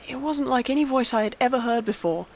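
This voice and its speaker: A woman's voice: fairly high pitch, animated, fast talker. Woman narrating horror, faint noise behind. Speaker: narrator, story reader. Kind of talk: scripted horror story narration.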